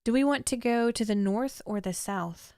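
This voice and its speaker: Chatty young woman, slightly hoarse. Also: fairly high pitch, warm tone, dry recording, studio quality. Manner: chatty, thinks aloud, reads descriptions in a measured storytelling way. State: slightly hoarse, losing her voice. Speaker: streamer playing a text adventure. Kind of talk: casual game commentary, reading game text aloud.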